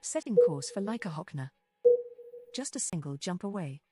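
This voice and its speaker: A woman's voice: on the low side, animated, moderate pace, over loud music, lightly acted. A woman voicing an obliging, cheeky spaceship co-pilot assistant, making polished announcements for a space game.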